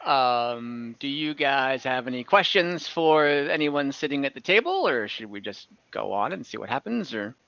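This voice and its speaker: Bright-voiced man running a tabletop game. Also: mid-range voice, expressive, moderate pace, vocal fry, hardly any pauses, no room sound. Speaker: game master. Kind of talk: improvised tabletop game narration. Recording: dull lo-fi call audio.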